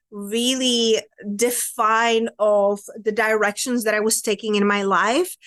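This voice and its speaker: A woman's voice: on the high side, fairly bright tone, no room sound. A woman in her thirties with a Czech accent, sharing her personal story earnestly and reflectively as an interview guest. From Czech Republic. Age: 30s. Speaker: podcast guest, women's empowerment coach.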